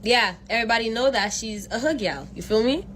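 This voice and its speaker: A woman from Haiti talking through a casual reaction vlog, animated and slangy, explaining and exclaiming as she goes. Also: fairly high pitch, a little fast, background noise. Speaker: vlogger reacting to clips.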